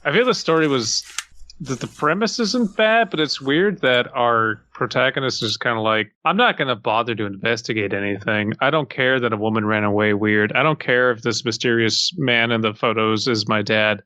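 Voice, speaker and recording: Man co-host, bright tense tone, wry quips. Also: medium pitch, moderate pace, dry recording. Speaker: podcast co-host. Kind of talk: casual chat.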